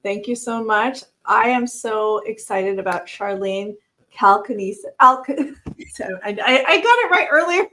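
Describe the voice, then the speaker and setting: A woman hosts a live interview show in a bubbly, effusive way. Her voice sits a touch higher than most. She sounds like she is on a video call. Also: lively intonation, measured pace, fairly bright tone. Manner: bubbly and effusive, laughs often. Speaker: host.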